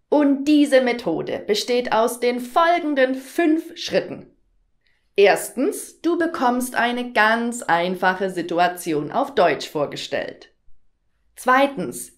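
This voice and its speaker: Woman teacher talking slow n clear to learners, explaining stuff step by step, echoey room. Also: mid-range voice. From southern Germany, near Stuttgart. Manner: clear, didactic, carefully enunciated for learners. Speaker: German teacher, workshop host. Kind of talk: instructional presentation to camera, addressing learners directly. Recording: roomy, echoing room sound.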